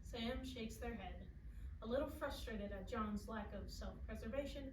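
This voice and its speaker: A woman's voice: on the high side, normal speed. A woman reading scripted stage directions aloud as narrator at a staged play reading. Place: live staged reading in a room. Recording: echoey room, distant mic, clear background noise.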